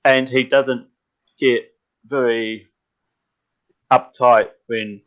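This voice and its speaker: A man chatting on a live stream who talks haltingly, with many stammered restarts. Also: mid-range voice, steady tone, muffled recording. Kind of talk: casual live stream chat.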